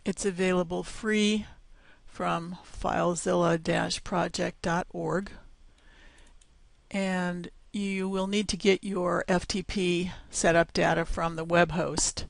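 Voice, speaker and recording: A woman talks through a website installation at an unhurried pace, pausing on each step. Her voice is rough and gravelly because she is losing it. The sound is close and dry with no room around it. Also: lowish voice, dry recording. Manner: slow, step-by-step explaining with fillers. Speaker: tutorial presenter. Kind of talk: screencast software tutorial.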